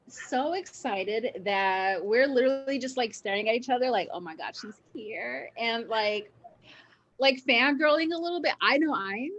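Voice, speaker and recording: A woman's voice: fairly high pitch, fairly bright tone, muffled recording. A woman chatting casually as the co-host of a show. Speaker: podcast co-host. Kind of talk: casual chat.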